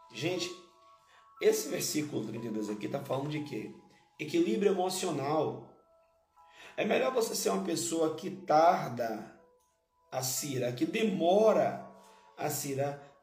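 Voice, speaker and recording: A hoarse male pastor, preaching a sermon and repeating key phrases to his listeners. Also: on the high side, darkish tone, roomy sound.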